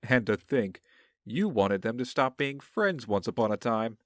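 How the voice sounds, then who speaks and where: A man's voice: medium pitch, sing-song, a little slow, a bit bright, no echo, studio quality. A man playing a genial, unruffled friend in an audio drama, affable, wry and reassuring.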